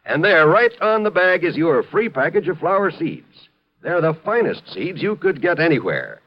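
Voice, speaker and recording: Man, 1950s radio announcer, punchy emphatic reads; lively pitch, muffled old broadcast. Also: moderate pace. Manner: upbeat, emphatic announcer delivery.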